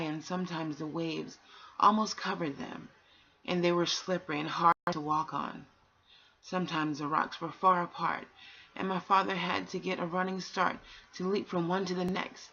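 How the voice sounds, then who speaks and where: Woman, lowish husky voice, bedtime storybook narration, unhurried phrasing, dull lo-fi recording. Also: pushed and bright. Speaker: amateur bedtime story reader. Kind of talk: storybook narration read aloud.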